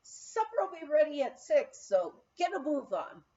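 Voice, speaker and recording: A woman reader puts on a folksy lodge cook, cheerful and bossy. Her voice is high and swoops about as she calls folks to supper in a storybook style. The recording is slightly dull. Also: very animated, measured pace, mellow.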